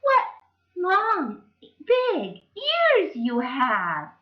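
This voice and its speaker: Lady putting on a tiny squeaky storybook girl, begging and singsong, swoopy voice, roomy dull sound. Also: wildly swooping pitch, drawn-out words, exaggerated voice. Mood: eager. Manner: high, pleading, singsong. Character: sweet eager little girl in a red cloak. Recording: dull, echoey room.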